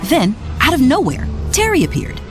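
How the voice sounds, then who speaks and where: A woman narrating a read-along storybook in an expressive, scripted storytelling style. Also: high-pitched, moderate pace. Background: music.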